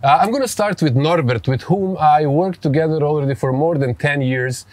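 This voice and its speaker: A man from Serbia with a Serbian accent hosts a conversational interview, speaking haltingly and repeating phrases as he frames his questions. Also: fairly high pitch, darkish tone, no room sound. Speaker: podcast host.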